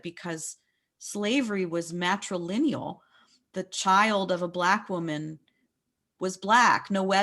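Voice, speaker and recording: A woman's voice: medium pitch, fairly bright tone. Reflective American woman explaining in discussion. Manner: explanatory, reflective, often rephrasing mid-sentence. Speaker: book discussion leader. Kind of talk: thoughtful literary discussion.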